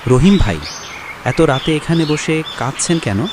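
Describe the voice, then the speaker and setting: A man playing a kind, respected village imam in a cartoon moral story, calm and reassuring in a preacherly way. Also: on the high side, unhurried. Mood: concerned. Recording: noisy track with birds and insects.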